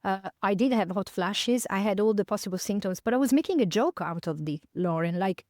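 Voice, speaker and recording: A woman in her fifties from northern Italy with an Italian accent, a podcast guest speaking in English as a second language, thoughtful and pausing with many fillers and restarts. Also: mid-range voice, a little mellow, no echo, studio quality. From northern Italy, near Milan. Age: 50s. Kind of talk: reflective conversational interview.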